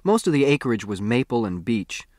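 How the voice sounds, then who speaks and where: A man's voice: mid-range voice, sing-song, normal speed, darkish tone, dry recording, clean studio sound. A man with an American accent narrates a first-person story expressively, like a boy telling his own adventure in a conversational way. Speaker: audiobook narrator.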